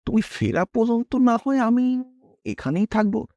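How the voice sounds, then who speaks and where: A man playing a kindly, worried old village tea server with deferential, rustic, fatherly speech in an animated horror story. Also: very high voice, moderate pace, dry recording, muffled recording. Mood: concerned. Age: older.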